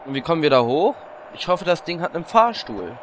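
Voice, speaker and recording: A man's voice: fairly high pitch, sing-song, fairly quick, slight background noise. A man playing a boastful, flirtatious warlock in a comedic audio drama, with a cocky way of talking. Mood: sardonic.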